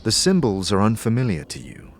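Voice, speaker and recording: A man's voice: on the low side, huge pitch swings, fairly quick, a little hiss or hum. A man giving theatrical, second-person scripted narration for a fantasy audio drama. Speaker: narrator and lead player.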